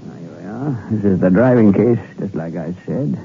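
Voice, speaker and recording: A man's voice: fairly low pitch, moderate pace, gravelly. An actor playing a wry, obliging newspaperman in a 1960s radio thriller, talking in an offhand, musing way. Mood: wry, helpful. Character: wry, obliging newspaperman digging through files. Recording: muffled phone-like hissy old radio recording.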